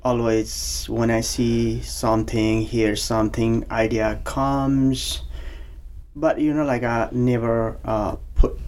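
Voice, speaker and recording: A man in his forties from Nepal with a Nepali accent, speaking as a podcast guest in a halting, casual way, with many fillers and restarts. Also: medium pitch, level tone, a little mellow. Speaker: podcast guest, banking officer. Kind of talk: casual interview.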